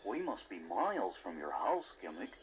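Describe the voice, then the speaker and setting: Excitable, swooping cartoon sidekick. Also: male voice, low voice, moderate pace, lo-fi sound, slight background noise. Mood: good-natured. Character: excitable, good-natured male creature sidekick. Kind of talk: 1980s children's audio storybook acting.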